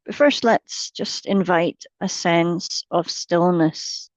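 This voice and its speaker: Woman doing a guided meditation, really slow and gentle, lots of pauses, kinda muffled dry sound. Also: on the low side, no echo. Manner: gentle, unhurried, with long pauses between short phrases. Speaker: meditation teacher.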